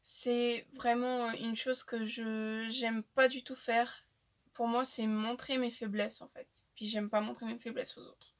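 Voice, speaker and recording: A young woman, chatting casually to the camera about herself with frequent filler pauses. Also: fairly high pitch, level tone. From Portuguese-speaking family, raised in Switzerland. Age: young adult. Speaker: vlogger. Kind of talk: casual facts-about-me vlog.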